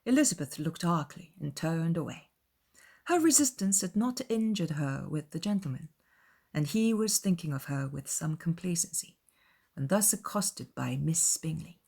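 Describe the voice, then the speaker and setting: A woman's voice: low-pitched, animated, moderate pace, creaky, darkish tone, husky. A woman narrating a dramatized literary audiobook.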